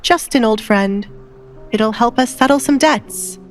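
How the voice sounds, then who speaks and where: A woman's voice: on the high side, unhurried, soft background music, pitched above the performer's own voice, lightly acted. A woman voicing a scheming, domineering middle-aged baron father in an audio drama, commanding and calculating.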